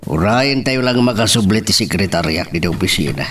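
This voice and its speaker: A man acts a middle-aged father who runs the family, with wide, swooping intonation and an Ilocano Filipino accent. He sounds authoritative and expressive in radio drama style, a little off the mic. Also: fairly low pitch, brisk, distant mic, noisy background, natural voice. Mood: pleased.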